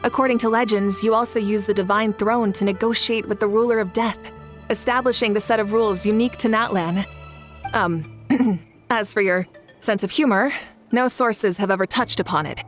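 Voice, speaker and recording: A woman playing a courteous, composed young leader in a video game, speaking politely in a measured, formal way. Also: mid-range voice, soft background music, close to an everyday voice. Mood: politely amused.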